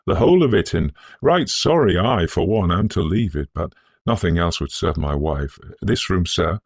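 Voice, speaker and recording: Storybook role: humble working man played by a man, deferential, kindly, earnest, gravelly voice. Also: low-pitched, expressive, moderate pace, no room sound, full character voice. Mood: proud, rueful.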